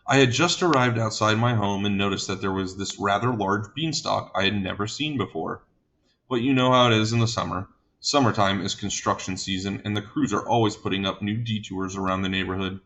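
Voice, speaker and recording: A man playing a fussy, gentle giant giving a flustered, wordy statement to a police officer in a comic monologue. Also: fairly low pitch, brisk.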